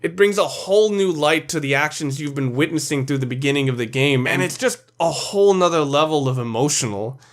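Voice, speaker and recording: A man's voice: fairly high pitch, expressive, a little fast, few pauses. A man narrating a countdown video as its reviewer, delivering opinionated scripted commentary in a blunt, sweary, emphatic way.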